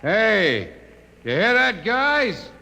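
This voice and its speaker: A man playing a brash, boorish spaceship crewman in an old radio drama, with a loud, swaggering drawl. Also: sits high, very animated, extremely slow, full character voice. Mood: boisterous, gloating. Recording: reverberant, noisy old radio recording.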